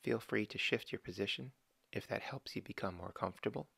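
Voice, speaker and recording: A man slowly and calmly guiding a body scan meditation, giving gentle step-by-step instructions with long pauses. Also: on the low side, creaky, slightly rough, dry recording. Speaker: meditation guide.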